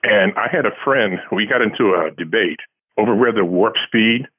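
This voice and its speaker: A man's voice: fairly low pitch, normal speed, breathy edge, no room sound. Older man on a tinny phone line. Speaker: science fiction author, interview guest. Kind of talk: casual conversational storytelling.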